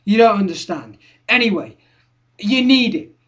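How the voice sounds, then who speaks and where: A man's voice: high voice, expressive, moderate pace. An actor performing a theatrical monologue as an obsessive, confrontational young male skinhead who relives his violent past. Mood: haunted, confiding. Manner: obsessive, confrontational, repetitive, swinging from hushed to shouting. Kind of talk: theatrical dramatic monologue, direct address. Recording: roomy echo, distant microphone.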